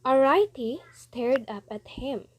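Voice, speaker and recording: A woman's voice: high-pitched, lively intonation, slow pace, no echo, rough recording. A woman narrating a story expressively for a reader's theatre.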